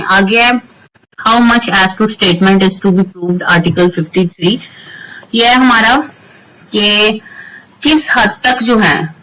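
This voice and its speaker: A woman with a Pakistani accent teaches in an informal, explanatory way. Her voice sits at a middle pitch, and she slows down for phrases she reads out in English. There is some ambient noise behind her. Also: fairly bright tone, rough recording. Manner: informal teacherly, switches between Urdu explanation and slowly read English phrases. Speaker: law teacher. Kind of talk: explanatory lecture with examples. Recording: noticeable background noise.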